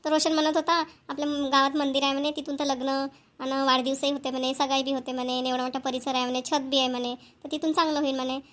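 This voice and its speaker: Woman acting brisk, high-voiced village mother. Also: little pitch movement, fairly bright tone. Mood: hurried, businesslike. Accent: Vidarbha Marathi. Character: busy, brisk middle-aged village mother. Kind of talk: amateur cartoon voice acting.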